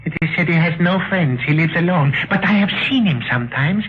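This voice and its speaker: A man's voice: fairly high pitch, animated, brisk, slight background noise, natural voice. A man playing a timid, nervous little fortune-teller in a 1950s radio drama. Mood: nervous, confiding. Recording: muffled vintage radio, music behind.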